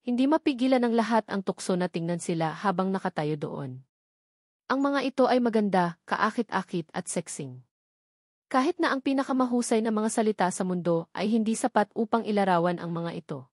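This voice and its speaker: A woman's voice: medium pitch, lively intonation, brisk, a little mellow, no room sound, studio quality. A woman reading scripted novel narration as an audiobook narrator.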